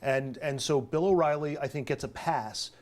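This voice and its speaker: A man's voice: on the high side, normal speed. An American man giving analytical commentary as a panelist, reasoning point by point and repeating for emphasis.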